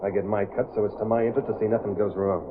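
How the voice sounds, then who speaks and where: An actor playing a breezy, slangy crooked railroad detective in an old-time radio drama. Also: male voice, lowish voice, fairly even intonation, racing, close to an everyday voice. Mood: easygoing, reassuring. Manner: slangy, cocky, casual. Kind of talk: 1930s-40s radio drama acting. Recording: tinny, noisy old radio recording.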